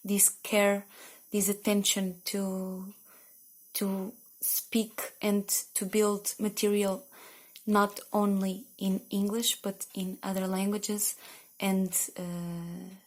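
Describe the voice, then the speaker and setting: Slow, halting young woman with Portuguese accent. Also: mid-range voice, level tone. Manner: slow, halting, searching for words. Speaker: research project translator. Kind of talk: recorded project message. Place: indoor room.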